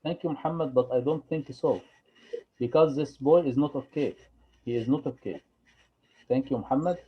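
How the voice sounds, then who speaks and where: A man's voice: medium pitch, moderate pace, husky. Patient man drilling English phrases. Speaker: English teacher. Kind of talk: online English lesson. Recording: dull lo-fi recording.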